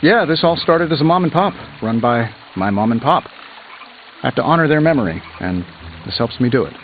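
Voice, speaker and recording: An actor playing a cocky billionaire inventor superhero in a video game, glib and sarcastic with playful quips. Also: male voice, medium pitch, expressive, fairly quick, phone-quality sound, a little hiss or hum, natural voice. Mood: breezy. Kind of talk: video game character dialogue, quippy banter. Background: music.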